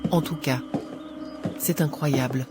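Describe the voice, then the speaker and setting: A man's voice: medium pitch, steady tone, slow pace, natural voice. Man as fretful cartoon mother, music behind. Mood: indignant. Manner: fretful, indignant.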